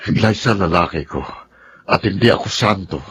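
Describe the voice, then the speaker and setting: A man's voice: deep voice, moderate pace, fairly bright tone. A man with a Filipino accent playing a tempted, uneasy stepfather in a radio drama, hesitant and evasive in his delivery. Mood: torn, pleading. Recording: faint background noise.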